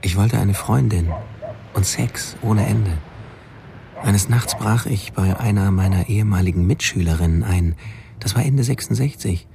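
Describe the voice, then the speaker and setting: An actor playing a troubled young drifter confessing his obsessions in a deliberate, brooding monologue for a radio drama. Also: on the low side, gravelly, background noise. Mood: brooding, confessional.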